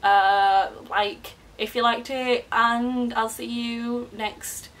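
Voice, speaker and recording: A woman's voice: on the high side, steady tone, very slow, very noisy. A woman in her early twenties talking casually to camera in a self-mocking, chatty vlog, with frequent swearing. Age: 20s. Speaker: YouTuber.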